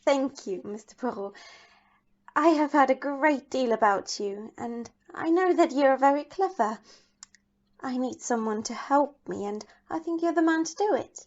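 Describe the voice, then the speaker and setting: A woman acts out a wealthy young married lady in an audio drama. Her voice is high, with a proper, imperious manner and an anxious edge. The sound is slightly dull and muffled. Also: expressive, moderate pace. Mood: composed, urgent. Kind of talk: amateur audio play acting.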